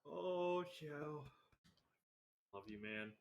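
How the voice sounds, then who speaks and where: A man's voice: fairly high pitch, expressive, drawn-out words, gravelly. A man reacting casually and emotively as a game streamer, sometimes reading dialogue choices aloud. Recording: noisy, roomy microphone sound.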